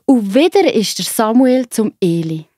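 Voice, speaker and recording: A woman with a Swiss accent narrating a story for children in a simple, expressive way. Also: on the high side, unhurried, no room sound, studio quality.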